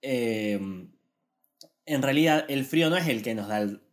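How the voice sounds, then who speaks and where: A man with an Argentine Spanish accent and a middle-pitched voice co-hosts a podcast. He explains things in a relaxed, meandering way. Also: measured pace. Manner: explanatory, rambling with restarts. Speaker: podcast co-host. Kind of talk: casual chat.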